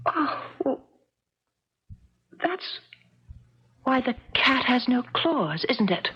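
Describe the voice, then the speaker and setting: Tender curious American visitor, extremely breathy, hesitant, gently questioning, muffled 1970s radio drama. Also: female voice, high voice, big pitch swings, extremely slow, heavily acted. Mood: hushed unease. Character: tender, curious woman visitor, hushed and unsettled.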